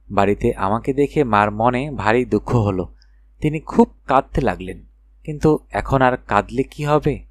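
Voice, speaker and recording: A man reads a children's fairy tale as an audiobook narrator. His voice sits at an average male pitch and is expressive in delivery, captured very dry with no room sound. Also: no room sound.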